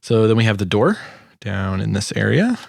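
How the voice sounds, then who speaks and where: A man's voice: fairly low pitch, big pitch swings, moderate pace, creaky, a little mellow, dry recording. A man giving an informal, self-deprecating walkthrough of his own sound design project, as in a casual tutorial.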